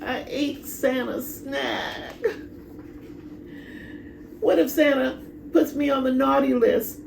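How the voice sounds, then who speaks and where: A woman voicing an excitable, anxious little girl in a storybook, with dramatic, drawn-out exclamations. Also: high-pitched, gravelly, echoey room, background noise, pitched above the performer's own voice, heavily acted. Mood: anxious, dismayed.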